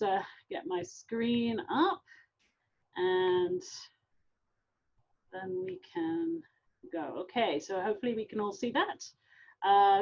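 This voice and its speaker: A woman's voice: mid-range voice, creaky, a little mellow. The keen English professor from London you'd hear giving an online science talk to the public, a middle-aged woman on slightly dull call audio. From London, England. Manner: informal, enthusiastic. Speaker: guest scientist, university professor.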